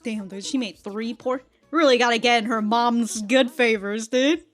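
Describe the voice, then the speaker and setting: A woman's voice: on the high side, steady tone, normal speed, soft background music. A woman giving casual, slangy, sweary and giggly reaction commentary as host of a reaction video.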